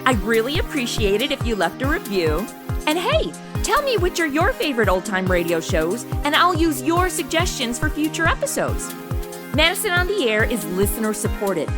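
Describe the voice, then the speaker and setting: A woman's voice: sits high, very animated, moderate pace, over music. A woman playing a sassy, spunky twenty-something amateur cop in a comedic audio drama, with flippant, slangy delivery. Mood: chatty.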